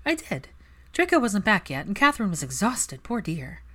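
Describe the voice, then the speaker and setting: A guarded young woman, played by a female audiobook reader, earnest and a little hesitant. Her pitch moves freely, giving her a flustered, expressive sound. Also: normal speed. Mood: defensive.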